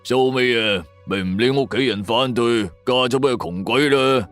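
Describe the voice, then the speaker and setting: A man's voice: medium pitch, moderate pace, fairly bright tone, lightly acted. A man with a Hong Kong Cantonese accent voicing a scornful, resentful wealthy man in an audio drama. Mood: scornful, bitter. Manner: haughty, sneering. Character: scornful, resentful wealthy man, callous about his sister. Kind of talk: audio drama character dialogue. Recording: background music under voice.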